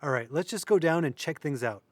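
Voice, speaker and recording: A man's voice: a little high, animated, brisk, dry recording, close to an everyday voice. An actor playing a level-headed man who keeps the peace, speaking in a reasoning, conciliatory way in an audio drama. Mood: reasonable, reassuring. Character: level-headed male peacemaker in a paranormal group.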